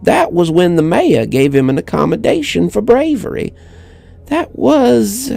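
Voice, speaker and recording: Elderly grieving widow male reader acting her: heavily gravelled, mellow, wistful and halting, audio horror story. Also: sits high, big pitch swings, pitched above the performer's own voice, full character voice.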